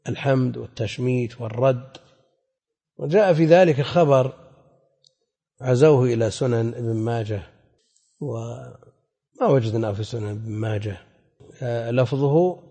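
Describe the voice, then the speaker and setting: In a reverberant hall, a man gives an explanatory religious lecture. His voice is mellow and husky, at a medium pitch. He teaches conversationally, often turning to pose questions to his class. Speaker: Islamic scholar teaching a hadith class. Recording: echoey hall with long reverb.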